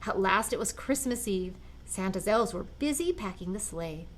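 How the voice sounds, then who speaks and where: An older woman, a grandmother, reading a children's picture book aloud in a gentle, expressive way. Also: medium pitch, moderate pace, a little hiss or hum. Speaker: storyteller grandmother.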